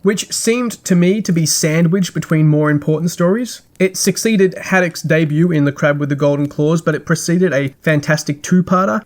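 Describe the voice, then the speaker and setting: Higher-pitched man narrating a podcast review. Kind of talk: scripted review narration with personal asides.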